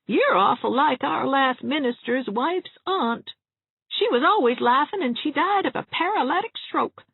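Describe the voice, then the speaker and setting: A woman's voice: high voice, animated, measured pace, creaky, dry recording, voice pushed up, exaggerated voice. A woman voicing a gloomy, fretful elderly spinster who frets and forever fears the worst. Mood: fussy. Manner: mournful, fussy, forever fearing the worst. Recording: tinny phone-like sound.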